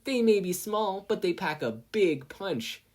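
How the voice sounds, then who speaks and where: Narrator as a high, peppy, smug teenage girl. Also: lively intonation, measured pace, a little mellow. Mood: smug, taunting. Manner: peppy, taunting, salesy. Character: smug teenage girl rival inventor, peppy one-upping saleswoman. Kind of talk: podfic character voice.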